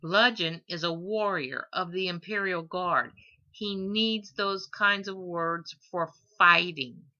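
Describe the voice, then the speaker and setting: A woman's voice: on the low side, slow, pushed and bright, dull audio. A woman voicing an awkward, protective young father who speaks earnestly and hesitantly in an audiobook. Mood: stern.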